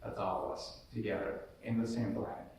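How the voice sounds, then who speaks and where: A man's voice: lowish voice, steady tone, a little fast, gravelly, darkish tone. Man from Colombia, panelist, earnest rambling talker, far-off echoey room recording. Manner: earnest, rambling, many fillers. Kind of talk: casual panel discussion. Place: large echoey room, live event.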